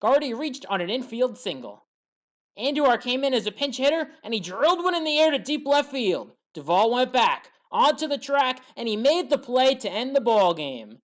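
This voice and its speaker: Sports recap narrator: woman, higher register, strongly varied intonation, measured scripted play-by-play. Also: big pitch swings. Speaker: sports highlights commentator.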